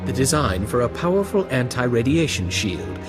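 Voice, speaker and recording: A man's voice: mid-range voice, very animated, moderate pace, music in the background, close to an everyday voice. An actor playing a suave, flirtatious male aristocrat in a video game, courtly and a little condescending. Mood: cool, courtly. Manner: courtly, smooth-talking, affected.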